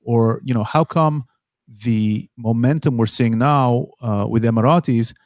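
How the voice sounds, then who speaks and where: A man hosting a podcast, asking questions in a halting way, often repeating words as he frames them. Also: mid-range voice, a little mellow, no room sound, studio quality. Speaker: podcast host. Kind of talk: interview questions.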